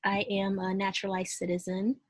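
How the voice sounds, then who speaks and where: A woman's voice: mid-range voice, monotone, fairly quick, a little mellow. A woman in her thirties, born in Haiti and raised in Indiana, introducing herself as a guest on a video call with some hesitant fillers. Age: 30s. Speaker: podcast guest. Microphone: video call from home.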